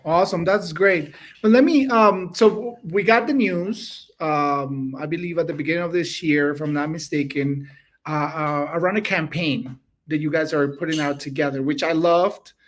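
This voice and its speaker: High-voiced chatty male host. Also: normal speed. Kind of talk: casual interview hosting.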